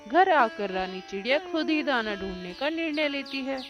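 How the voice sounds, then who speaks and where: A woman's voice: high-pitched, animated, normal speed, soft background music. A woman narrating a scripted children's story.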